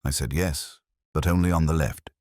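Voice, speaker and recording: A man narrating a scripted first-person detective story in a measured, literary, brooding way. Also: very deep voice, a little mellow, no room sound, clean studio sound.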